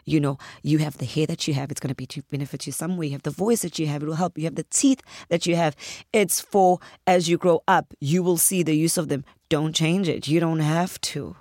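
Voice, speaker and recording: A woman from South Africa, a guest actress on a podcast interview, with a husky, deep voice, talking in an earnest way that turns animated as she tells stories. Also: a little fast, no echo, clean studio sound.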